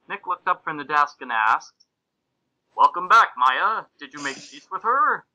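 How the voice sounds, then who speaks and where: A man's voice: a little high, lively intonation, unhurried, bright, forceful tone, poor audio. A man reading fan fiction and reader comments aloud in a casual, comic podcast style.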